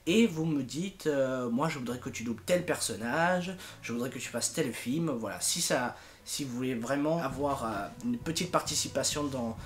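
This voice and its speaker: A man giving an informal, unscripted video update to his followers, rambling and halting as he goes. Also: mid-range voice. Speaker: amateur fandub project leader and YouTuber.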